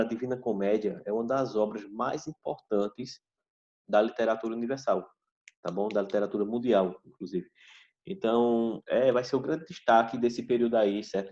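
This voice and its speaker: A man teaching a history class over a video call, explaining slowly and checking in with "tá certo?" after each point. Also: fairly low pitch, a little mellow. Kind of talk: online class lecture. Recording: dull video call audio.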